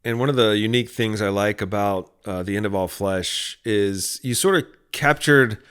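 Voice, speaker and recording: A man's voice: on the low side, normal speed, fairly bright tone, clear, resonant tone. A man hosting a casual, conversational interview as the interviewer. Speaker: interviewer, podcast host. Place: remote video call.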